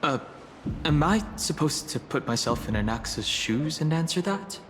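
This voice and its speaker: A man playing a calm, thoughtful, courteous young companion in a video game, with deliberate, formal phrasing. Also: a little high, slight background noise. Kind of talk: scripted game dialogue. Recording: long hall reverb, music underneath.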